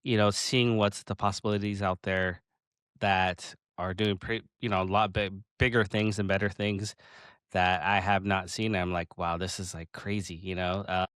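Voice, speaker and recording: A man in his late thirties hosting a casual interview, talking in halting bursts with many restarts. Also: low voice, clear, resonant tone, no room sound, clean studio sound. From Pacific Northwest of the US. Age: 30s. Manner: halting, restarts often, excited laughs. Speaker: podcast host.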